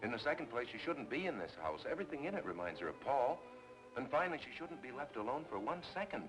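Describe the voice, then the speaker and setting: An actor playing a rational, concerned suitor, firm and earnest, in an old film noir. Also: male voice, medium pitch, big pitch swings, fast talker, natural voice, low-quality recording. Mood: concerned, insistent. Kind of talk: 1940s film noir acting. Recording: old film soundtrack with music behind.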